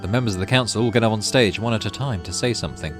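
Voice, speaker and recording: A man's voice: fairly low pitch, rapid-fire, over music. A man giving casual let's play commentary, reading story text aloud and murmuring to himself as he decides. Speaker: gaming channel host.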